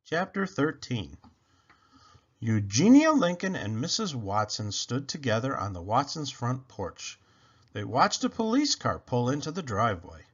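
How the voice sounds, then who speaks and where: A man narrating a children's book aloud in an expressive, dramatic, emphatic style. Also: medium pitch, unhurried, husky, lo-fi sound. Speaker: storybook reader.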